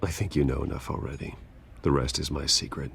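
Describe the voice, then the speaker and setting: A man's voice: rumbling low, steady tone, fairly quick, vocal fry, a bit bright, husky, full character voice. A man playing a wealthy, guarded billionaire with a secret vigilante life in a video game, speaking tersely and dryly. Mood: guarded, firm. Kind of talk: dramatic video game dialogue.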